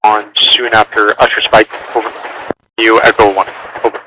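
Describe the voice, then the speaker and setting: A man's voice: fairly low pitch, monotone, moderate pace. A man working as a military mission controller, giving procedural instructions in clipped phrases over a radio transmission. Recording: noisy military air-band radio. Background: radio static and clicks.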